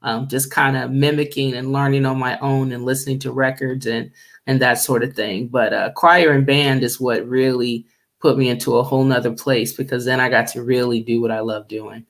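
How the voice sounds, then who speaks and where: Deep-voiced middle-aged woman, chatty guest. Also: little pitch movement, normal speed. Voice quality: deep low voice. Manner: easygoing, chatty, laughs often. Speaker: guest singer. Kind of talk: casual radio interview chat.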